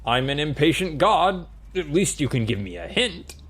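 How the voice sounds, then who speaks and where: A man's voice: fairly high pitch, big pitch swings, normal speed, fairly bright tone, slightly rough, voice pushed up, heavily acted. A man voicing an impatient, self-important male god of rocks in a comic fantasy audiobook. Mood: curious, playful.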